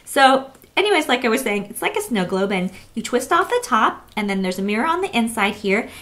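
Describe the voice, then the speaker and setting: A woman's voice: a little high, sing-song, a little fast, a bit bright. Chatty woman reviewing makeup. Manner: chatty, conversational, enthusiastic. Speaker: beauty blogger. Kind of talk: casual makeup product review to camera.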